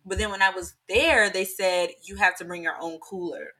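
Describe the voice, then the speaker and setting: A young woman with a slightly hoarse voice, telling a personal story in chatty, animated talk full of fillers. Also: medium pitch, normal speed. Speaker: podcast guest. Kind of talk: casual storytelling.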